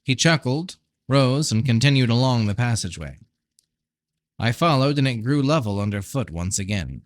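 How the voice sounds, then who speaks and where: A man's voice: mid-range voice, expressive, moderate pace, no room sound, studio quality. A tired-sounding man giving a scripted narration of a fantasy novel, as an amateur audiobook reader.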